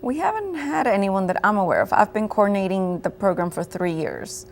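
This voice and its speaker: A woman's voice: medium pitch, expressive, a little fast, creaky, slight background noise. A woman, a program coordinator, explaining her work earnestly as a guest on a TV interview. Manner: earnest, explanatory, uses "you know" often.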